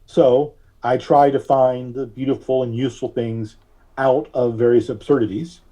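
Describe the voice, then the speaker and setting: Male interview guest. Dark, slightly raspy voice, mid pitch, conversational and searching for words. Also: steady tone. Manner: halting, searching for words, thoughtful. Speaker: podcast guest, artist and reverend.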